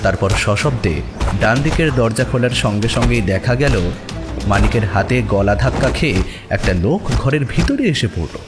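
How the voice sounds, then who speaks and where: Casting: male story narrator, expressive scripted delivery, lively pitch movement, musical backing. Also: normal speed. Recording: music behind the voice.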